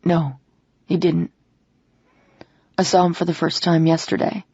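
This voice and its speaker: A remorseful, commanding man, read by a woman narrator, speaks in a low, steady, pleading way. The voice is low, husky and gravelly. The recording sounds dull and dry. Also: measured pace, no echo. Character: remorseful, commanding man explaining his faked death.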